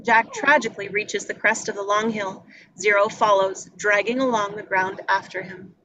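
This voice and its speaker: A woman's voice: mid-range voice, moderate pace, sharp tone, dull audio, a little hiss or hum. A woman reading scripted action descriptions as narrator at a live table read.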